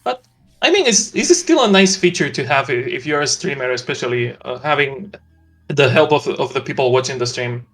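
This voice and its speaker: A man's voice: medium pitch, expressive, normal speed, fairly bright tone, soft background music. A man, a streamer guest, chatting casually on a livestream in an easygoing, hesitant way with fillers.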